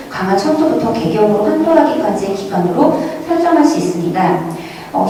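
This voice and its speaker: A woman presenting academic research at a conference in a measured, formal manner, reading from prepared notes. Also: fairly high pitch, mellow. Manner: measured, formal scholarly delivery. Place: large echoey lecture hall at a conference. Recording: very echoey hall, distant mic, faint noise.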